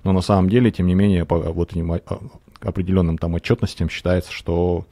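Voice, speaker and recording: A man hosting an educational radio show in a studio, talking in a measured, hesitant, explanatory way. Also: low-pitched, little pitch movement, dark tone, no echo. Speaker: radio show host, realtor. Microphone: studio microphone on arm, headphones.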